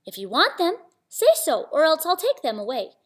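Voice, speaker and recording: A woman's voice: extremely high pitch, fairly quick, voice pushed up. A woman voicing a small, polite, plucky little boy in a children's storybook, with a sing-song pleading manner. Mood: bold.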